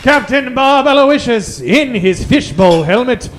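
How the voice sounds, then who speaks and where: A man's voice: very high-pitched, lively intonation, unhurried. A man narrating in a theatrical, suspenseful old-time radio serial style.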